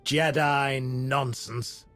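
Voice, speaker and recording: An actor playing a cold, scornful dark lord in a video game, with a gravelly voice and sneering manner. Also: male voice, medium pitch, fairly even intonation, faint music behind. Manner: sneering, deliberate. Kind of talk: video game villain acting.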